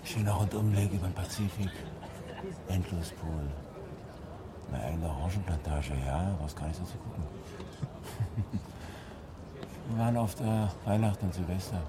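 An actor playing a jovial, boastful prison inmate who chats with irony in a naturalistic radio drama. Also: male voice, low voice, moderate pace, long pauses, lightly acted. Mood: chatty, wry. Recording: heavy noise behind the voice.

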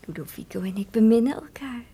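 An actress playing a devoted, lovestruck young maidservant in a theatrical radio play, trusting and eager, with an expressive storytelling lilt. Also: female voice, on the high side, quick pace, slightly rough, exaggerated voice.

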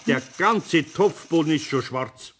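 A man's voice: fairly high pitch, expressive, measured pace, a bit bright, slight background noise. A man playing a grumbling but good-natured older craftsman with a Bavarian accent in a radio play. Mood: dismayed. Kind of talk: German radio play acting.

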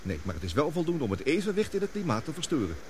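An actor playing a gloomy, guilt-ridden scientist in a radio play, speaking gravely and deliberately. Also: male voice, on the high side, huge pitch swings, a little hiss or hum. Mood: grave explaining. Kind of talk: radio drama acting.

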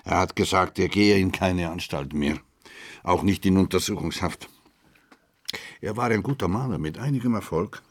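A man's voice: low-pitched, normal speed, slightly rough. An older man playing a retired, guarded police commissioner in a radio drama, terse and understated. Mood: sober.

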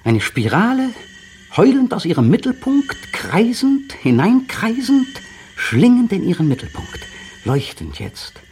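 A man's voice: high-pitched, wildly swooping pitch, unhurried, darkish tone, exaggerated voice. An actor playing a brooding man in a radio drama, narrating his memories in an inner monologue that sometimes rises to fevered intensity. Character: brooding man recalling a still afternoon.

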